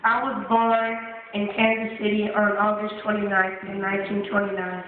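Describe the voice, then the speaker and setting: A woman's voice: medium pitch, little pitch movement, moderate pace. Woman, plain recited monologue, tinny phone-like recording, faint noise. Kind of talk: school play monologue. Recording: echoey hall, phone-quality audio.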